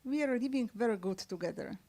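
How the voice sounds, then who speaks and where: A woman author whose first language is Serbian, answering questions at a book launch in halting speech with many fillers and restarts. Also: on the high side, expressive, mellow. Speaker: author guest. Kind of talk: conversational book-launch interview. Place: press club event room.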